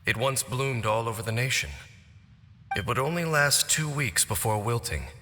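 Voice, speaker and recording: A man voicing a cursed, world-weary wanderer in a video game, speaking in a measured, solemn, stoic way. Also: medium pitch, lively intonation, pushed and bright, a little hiss or hum. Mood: solemn, wistful. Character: cursed, world-weary centuries-old male wanderer, grave and cynical. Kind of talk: video game cutscene voice acting. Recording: long hall reverb, music behind.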